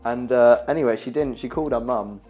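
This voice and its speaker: A young man with a husky voice, chatting and joking with his mother while they cook together for his vlog. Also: medium pitch, brisk, dark tone, soft background music. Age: young adult. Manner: jokey and teasing, laughs often. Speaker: vlogger cooking with his mum. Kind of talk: casual chat while cooking.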